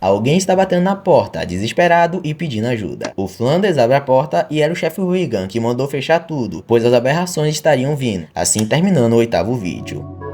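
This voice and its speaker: A man's voice: mid-range voice, big pitch swings, a little fast, over music. A Brazilian man presenting a story recap in casual scripted narration, with jokey asides to his viewers.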